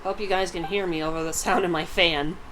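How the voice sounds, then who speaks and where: A woman's voice: fairly low pitch, steady tone, brisk, a bit bright, a little hiss or hum, rough recording. A woman streamer giving casual, offhand commentary while playing a video game.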